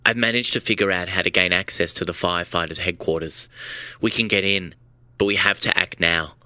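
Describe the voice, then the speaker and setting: A man's voice: deep voice, steady tone, brisk, bright, forceful tone, dry recording. An actor playing a beaten-down, remorseful man on the run, confessing earnestly in an audio drama. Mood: urgent.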